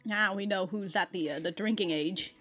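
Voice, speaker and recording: A woman's voice: medium pitch, moderate pace, a bit bright, soft background music. A woman giving casual reaction commentary, slangy and exclamatory, swearing freely and often breaking into giggles. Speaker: reaction video host.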